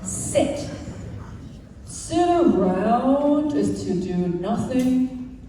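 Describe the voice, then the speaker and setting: Playful woman, slow and lively. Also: sits high. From Hong Kong. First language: Cantonese. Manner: playful, deliberate, with comic timing. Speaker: middle school Mandarin teacher. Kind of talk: humorous school assembly speech. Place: large school hall. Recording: echoey hall from far off with faint room noise.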